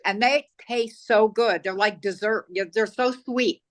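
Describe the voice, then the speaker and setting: A woman's voice: medium pitch, measured pace. A woman who is hard of hearing, a guest chatting casually and supportively on a cooking show. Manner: supportive, with brief backchannel replies. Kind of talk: casual chat.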